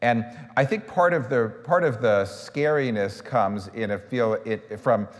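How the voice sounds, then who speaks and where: A middle-aged man on a stage panel who speaks haltingly, often repeating words while he thinks. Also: mid-range voice, long reverb tail. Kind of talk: panel discussion. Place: large auditorium stage. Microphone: lapel mic.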